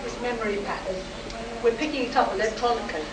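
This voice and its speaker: A woman's voice: on the high side, quick pace, natural voice. Lady doing a cool clinical psychiatrist in a sci-fi radio play, authoritative questions, echoey noisy room. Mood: commanding. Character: skeptical, clinical female army psychiatrist. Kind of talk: sci-fi radio play acting, performed live. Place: live performance in a room at a convention. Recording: roomy, distant, noisy live convention stage recording.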